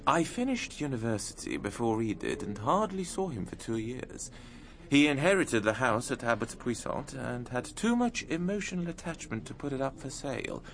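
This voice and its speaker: Expressive male storyteller. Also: medium pitch, normal speed. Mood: wry, reflective. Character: wry male storyteller recalling his friend. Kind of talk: radio drama narration.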